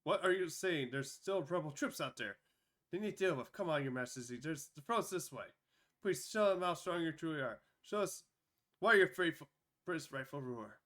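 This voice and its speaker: A jittery court attendant yelps and frets in a high, frantic voice, as a man puts him on. The tone is sharp and bright, like a fan-dubbed fantasy cartoon. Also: animated, moderate pace. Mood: anxious. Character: panicky male court attendant.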